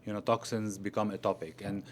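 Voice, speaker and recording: A man's voice: fairly low pitch, little pitch movement, fairly quick, a little hiss or hum. A middle-aged man speaking conversationally as a doctor hosting a podcast interview.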